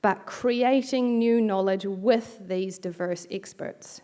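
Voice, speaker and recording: A woman's voice: medium pitch, slow pace, warm tone. A middle-aged woman from New Zealand with a New Zealand accent, giving a reflective academic keynote with light self-deprecating asides. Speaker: keynote speaker. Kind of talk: academic lecture.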